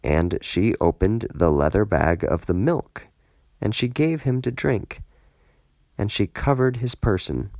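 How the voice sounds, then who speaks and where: A man reading scripture as scripted narration, solemn and measured, in short deliberate phrases. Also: on the low side, darkish tone, dry recording, studio quality. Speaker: scripture reader, audiobook narrator.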